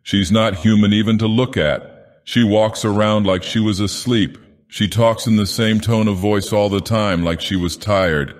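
A man voicing a gruff, drunken, superstitious schooner captain in a storybook narration, grumbling and emphatic with fear underneath. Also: on the low side, steady tone, unhurried, in a large hall, pitched above the performer's own voice, natural voice. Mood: agitated, defiant.

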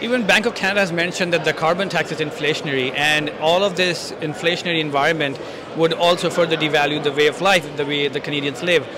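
A man interviewing a guest at a convention, asking halting questions with fillers into a handheld mic. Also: fairly high pitch, fairly even intonation, background noise. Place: large convention hall lobby, indoors.

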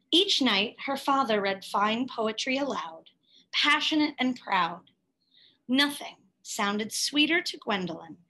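A woman reading a picture book aloud, with careful, expressive storytelling phrasing. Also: fairly high pitch, rough recording. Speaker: storybook reader.

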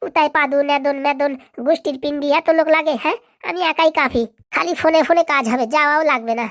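Woman acting bossy, high-voiced cartoon schemer. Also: fairly even intonation, fast, a bit bright, no room sound, studio quality. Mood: boastful. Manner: commanding, brash, colloquial. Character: bossy, boastful, scheming woman power broker.

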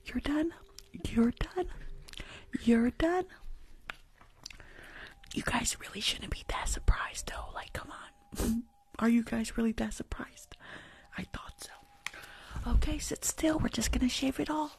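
A woman's voice: fairly high pitch, slow, vocal fry, long pauses. Whispering young woman doing ASMR. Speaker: ASMR creator and livestream host. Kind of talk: whispered ASMR roleplay and livestream chat. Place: small indoor room at home. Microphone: close desktop microphone, earphones on.

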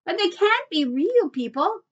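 A woman's voice: very high voice, very animated, a little slow, far from the mic, muffled recording, voice pushed up, exaggerated voice. A woman voicing an eager, earnest little boy full of wonder in a children's storybook. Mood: amazed.

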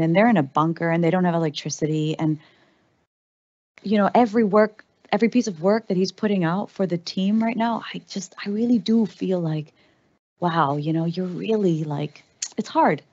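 A woman's voice: mid-range voice, lo-fi sound. A woman in her thirties from the Netherlands, giving a conversational keynote on a large stage with a headset mic and plenty of fillers. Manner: animated, conversational, frequent fillers. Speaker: keynote speaker. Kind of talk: conference talk.

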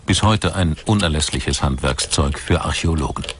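A man narrating a scripted documentary in a measured, storytelling delivery. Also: a bass voice, a little hiss or hum. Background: music.

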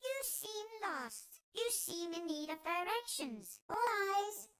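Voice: very high voice, very animated, slow, pushed and bright, no echo, full character voice. An actor voicing a small, cheerful but frantic helper robot from a video game, with theatrical, chirpy politeness. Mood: cheerful guide. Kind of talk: video game character voice lines.

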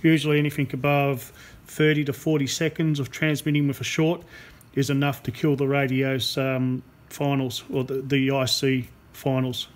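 A man explaining a hands-on radio repair as a technician, unscripted, with a halting delivery and long pauses. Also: medium pitch, flat delivery. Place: indoor workbench in a repair workshop. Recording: clear background noise, workshop ambience. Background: workshop room noise.

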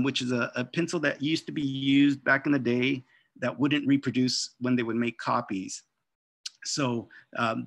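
A middle-aged man, an author and illustrator, casually presenting his artwork on a video call with an unhurried, halting delivery. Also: mid-range voice, creaky, a bit bright, no room sound. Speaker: children's book author and illustrator. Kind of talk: informal slide presentation of artwork.

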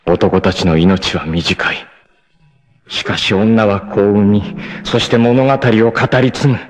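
An actor playing a proud, fierce, devoted martial-arts warrior facing death, with solemn, dramatic delivery in 1980s anime style. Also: male voice, fairly low pitch, fairly bright tone, slight background noise. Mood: solemn, wistful. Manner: solemn, dramatic, deliberate delivery with intense outbursts.

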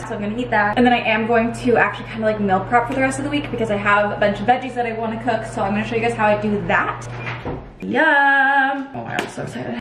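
A woman's voice: on the high side, roomy sound, noisy background. A young woman in her twenties chatting casually in a vlog, bubbly and gushing, filmed on a handheld or tripod camera at home and out and about. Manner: bubbly, gushing, lots of "like" and "so cute". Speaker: vlogger. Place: home kitchen and outdoor canyon town.

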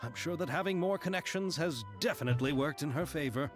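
A man's voice: on the high side, fast, natural voice. A man voicing a polite, earnest young film director in a game. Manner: polite, thoughtful. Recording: game audio with music behind.

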